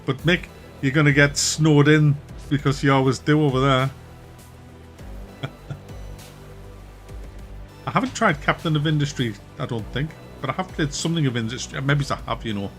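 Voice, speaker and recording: A man's voice: fairly high pitch, normal speed, long pauses. Man, mackem north east accent, middle-aged, laid-back stream chatter, laughs a lot, faint music behind. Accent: Wearside (Mackem) North East English. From North East England, near Durham. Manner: relaxed, chatty, laughs often, trails off. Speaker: game streamer. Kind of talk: casual live-stream chat reading viewer comments.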